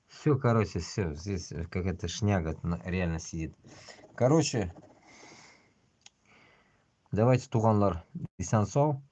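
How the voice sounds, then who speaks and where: A man's voice: sits low, gravelly, warm tone. A man from Bashkortostan, hosting a casual livestream in a blunt way. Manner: blunt, heavily swearing. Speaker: livestream host. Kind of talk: casual livestream chat with read-out news. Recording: dull, lo-fi stream audio.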